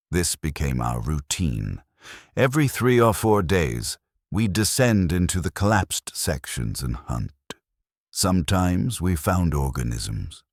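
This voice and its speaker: An extremely deep-voiced man reads a grim sci-fi horror tale. He takes his time, with a grave, measured delivery that still moves noticeably in pitch. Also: no room sound, clean studio sound. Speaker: narrator. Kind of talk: scripted first-person dark sci-fi horror narration.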